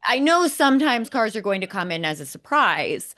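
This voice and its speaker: A woman's voice: a little high, very animated, normal speed, sharp tone. A woman co-hosting a motorsport podcast, giving emphatic, critical commentary and explaining point by point. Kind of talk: opinionated podcast commentary on race broadcasts.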